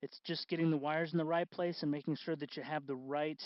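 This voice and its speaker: A man with a slightly high voice talks through a hands-on tutorial. He is casual and unscripted, often halting to restart and correct his phrases. The sound is somewhat dull and very dry, as if there were no room around him. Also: steady tone, no echo. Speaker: host of a DIY costume electronics tutorial.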